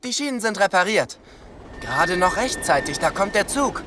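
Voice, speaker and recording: An actor playing a calm, encouraging boy leader in a children's cartoon audio drama, giving clear, reassuring instructions. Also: mid-range voice, moderate pace, noisy background, close to an everyday voice.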